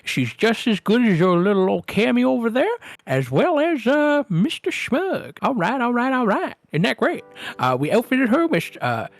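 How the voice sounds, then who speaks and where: A man voicing an excitable little gnome stable owner who gushes out repetitive, folksy sales patter in a tabletop game. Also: extremely high pitch, normal speed, no echo, soft background music, pitched above the performer's own voice, heavily acted. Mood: eager, boastful.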